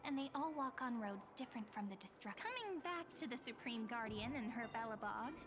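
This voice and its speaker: High, girlish, gentle guide, played by a woman. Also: lively intonation, a little fast, over music, natural voice. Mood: explanatory. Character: gentle girlish guide to other worlds, patient and hopeful. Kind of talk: scripted video game voice acting.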